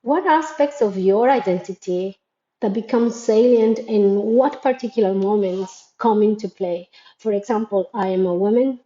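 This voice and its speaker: A woman's voice: medium pitch, normal speed, mellow, roomy sound, highs cut off. A woman whose first language is Spanish, from Latin America, an educator giving a thoughtful, earnest reflective monologue that poses questions to listeners. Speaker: educator and creator of a school framework.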